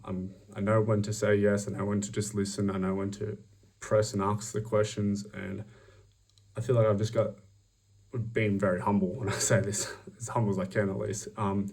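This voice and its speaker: A young man with an Australian accent giving a self-recorded job application pitch, upbeat and self-promoting, with many ums and restarts. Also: level tone, a little fast, recorded from a distance, slight background noise.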